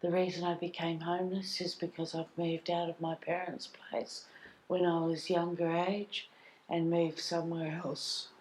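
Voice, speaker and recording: Australian woman, lowish and mellow voice, slow, plain and simple sentences. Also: little pitch movement, a little hiss or hum, poor audio. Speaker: speaker in a community film. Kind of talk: simple personal account.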